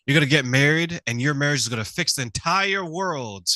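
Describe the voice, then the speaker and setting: A middle-aged man hosting a conversational interview, telling stories in an animated way and often checking in with the listener. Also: fairly high pitch, brisk, no room sound. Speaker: talk show host.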